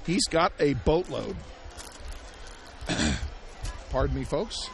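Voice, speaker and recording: A man calling a high school basketball game play-by-play, hoarse and losing his voice, shouting the big plays and chatting between them. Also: expressive, slow pace. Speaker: play-by-play announcer. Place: high school gym broadcast. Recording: loud gym crowd and noise behind.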